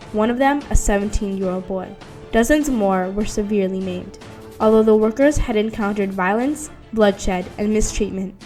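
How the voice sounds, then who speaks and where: A woman's voice: mid-range voice, warm tone. A woman reading scripted documentary narration. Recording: music under the voice. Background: background music.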